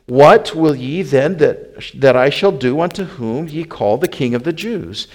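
A man's voice: a little high, animated, unhurried. A man voicing an insistent Roman governor, questioning emphatically in archaic English in a dramatic scripture reading.

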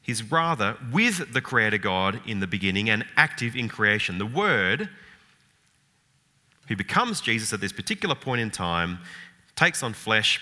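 A man's voice: fairly low pitch, sharp tone. A man giving an informal Bible lecture, thoughtful, with frequent fillers, restarts and rhetorical questions. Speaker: Bible teacher. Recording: long hall reverb.

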